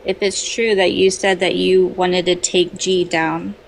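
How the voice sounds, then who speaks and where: A woman voicing a sidekick who relays questions from the chat in a flat, low-key way. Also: measured pace, vocal fry, slight background noise.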